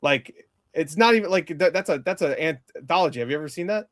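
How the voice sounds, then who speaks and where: A man's voice: a little high, animated, fast talker, dry recording. Rambling, blunt man reviewing movies, dry close sound. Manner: rambling, emphatic, blunt with casual swearing. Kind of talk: casual film review chat.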